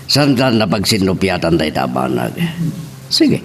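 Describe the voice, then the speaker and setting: A man's voice: low voice, sing-song, normal speed, creaky, a little hiss or hum, heavily acted. A man playing a stern, confronting character in an audio romance drama. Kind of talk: Ilocano radio-style romance drama acting.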